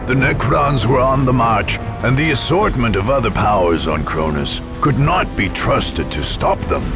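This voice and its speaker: A man delivering scripted dramatic narration for a war game's story, in a grave, portentous, measured storytelling manner. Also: lowish voice. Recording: dramatic music behind the voice. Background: music.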